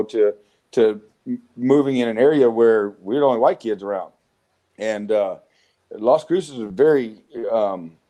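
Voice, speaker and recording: A man in his forties with a Southwest American accent, telling rough, profane stories of his youth as an interview guest. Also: mid-range voice, mellow. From United States. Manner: rough-spoken, swearing, frequent restarts. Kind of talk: casual storytelling interview.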